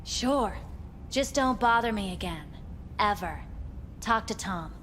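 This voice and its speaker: A bored female club worker from a video game, dismissive and unhurried. Her mid-to-high voice sounds in a slightly reverberant room. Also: expressive, fairly bright tone. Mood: irritated, offhand. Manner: dismissive, offhand. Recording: echoing room, faint background noise.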